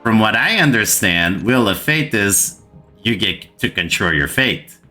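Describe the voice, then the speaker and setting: A Brazilian man with a bright, forceful edge to his lowish voice, who speaks English as a second language. He rants slowly and with exasperation to his gaming channel viewers and hammers words by repeating them. Faint music plays underneath. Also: animated. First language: Portuguese. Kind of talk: frustrated gaming rant to camera.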